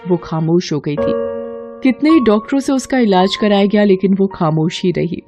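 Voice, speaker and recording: A female storyteller delivers scripted, dramatic narration. Her voice sits at a medium pitch, with music clearly playing behind it. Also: normal speed. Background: background music.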